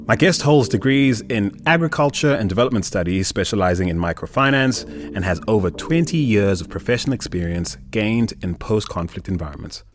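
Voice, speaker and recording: A man's voice: on the low side, expressive, a little fast. A man hosting a podcast, moving between scripted narration and conversational interviewing. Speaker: podcast host.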